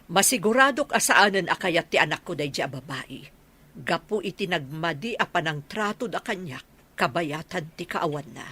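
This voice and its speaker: A woman playing an aggrieved older mother in a radio drama, tender but indignant, with a husky voice. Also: lowish voice, animated, normal speed, pushed and bright, heavily acted. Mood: worried, resentful.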